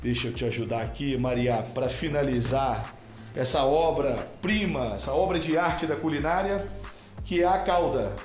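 A man's voice: medium pitch, normal speed. A man in his thirties doing a playful TV interview in a home kitchen, asking teasing, leading questions into a handheld mic. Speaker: field reporter. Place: small home kitchen indoors. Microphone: handheld reporter mic. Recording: echoey kitchen with music behind.

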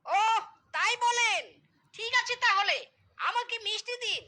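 A woman's voice: extremely high pitch, a little slow, pushed and bright, full character voice. A woman voicing a cunning, stingy fox son-in-law in a comedy cartoon, eager, wheedling and exclaiming. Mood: naive, haggling. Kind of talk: comedy cartoon character dialogue.

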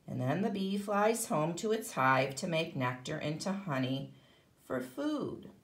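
A woman's voice: low-pitched, very animated, measured pace, roomy sound. A woman teaching kindergarten, reading a children's book aloud expressively and explaining it to young listeners. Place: indoor room, at a table.

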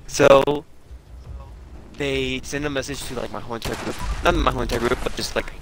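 A young man with a creaky, fry-laden voice tells a casual, rambling story. He circles back and corrects himself often. It sounds like game voice chat, with faint noise and game ambience behind him. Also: mid-range voice, steady tone, fairly bright tone. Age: young adult. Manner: halting, rambling, filler-heavy with self-corrections. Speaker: game streamer telling a story. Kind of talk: casual storytelling over gameplay.

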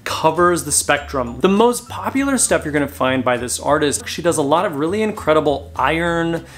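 A man in his thirties filming a casual haul vlog in a cluttered storage room, talking straight to the camera in an animated, self-mocking way. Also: fairly high pitch, normal speed. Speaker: reseller vlogger.

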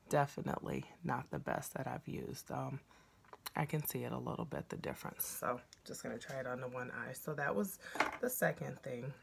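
A woman in her thirties with a raspy voice, chatting frankly through a product review, filmed on a phone at arm's length in a small room. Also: low-pitched. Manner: chatty, frank, full of "um" pauses. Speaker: beauty vlogger.

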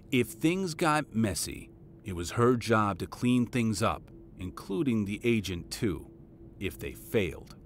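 Man acting as deep-voiced, measured veteran father. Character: devoted father, calm veteran vault intelligence monitor. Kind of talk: audio drama acting. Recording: audible low rumbling background noise.

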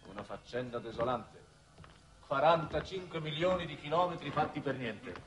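An actor playing a scornful, impatient war minister from Earth in a 1960s Italian television drama, indignant in manner. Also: male voice, on the high side, expressive, moderate pace, background noise, close to an everyday voice. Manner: indignant, contemptuous.

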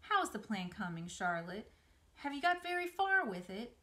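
A woman's voice: a little high, big pitch swings, normal speed, sharp tone. A woman voicing a young pig from a storybook, childlike, affectionate and anxious, with an eager, pleading manner.

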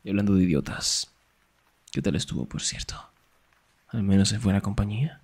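A man acting a young, sarcastic and jealous best friend in an ASMR roleplay, teasing in a breathy voice that often drops toward a whisper. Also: fairly low pitch, expressive, moderate pace, a little mellow. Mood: wry, teasing.

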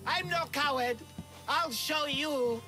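A man voicing a hapless, cowardly villager who poses as a monster slayer, theatrical, bumbling and excitable, in a video game. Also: very high voice, slow, over music. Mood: desperate, panicked. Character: hapless, melodramatic, cowardly male villager posing as Minotaur slayer. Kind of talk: video game character acting.

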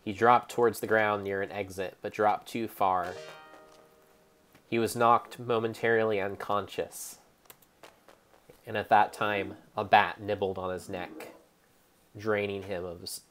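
A young man narrating gameplay like a dramatic storybook, in a theatrical, measured way with pauses. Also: lowish voice, little pitch movement, fairly bright tone, slight background noise. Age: young adult. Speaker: game streamer.

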